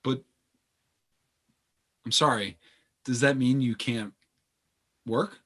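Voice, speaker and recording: A man's voice: mid-range voice, steady tone, slow pace, fairly bright tone. An actor playing an uneasy, guilt-ridden man in a stage play, hesitant, apologetic and defensive. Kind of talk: naturalistic stage play acting.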